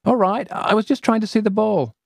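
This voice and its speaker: A man voicing a frightened, stubborn young girl trapped in a drain, shrill and pleading. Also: a little fast, creaky, dry recording, studio quality. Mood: wary.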